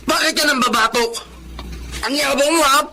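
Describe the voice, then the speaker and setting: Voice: high-pitched, normal speed, noisy background. An actor playing a cocky young student bully with a taunting, sneering delivery in a drama. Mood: aggressive.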